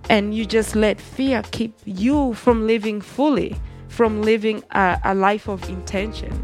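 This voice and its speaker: A woman's voice: medium pitch, steady tone, measured pace, a little mellow, soft background music. A woman from Rwanda with a Rwandan accent, telling her personal story as an interview guest in an earnest, reflective way.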